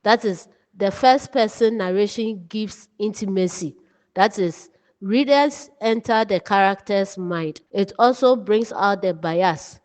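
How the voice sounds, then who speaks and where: A woman giving an instructive lesson, speaking deliberately in short phrases. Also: mid-range voice, darkish tone. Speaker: writing teacher. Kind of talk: lesson narration with read-out examples. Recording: long reverberant echo.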